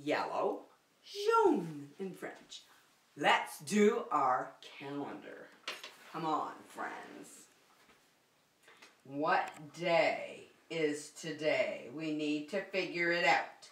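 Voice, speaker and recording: A woman leading a preschool circle-time lesson, speaking to young children in simple, repeated phrases with an encouraging, animated manner. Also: sits low, very slow. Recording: roomy echo, distant microphone.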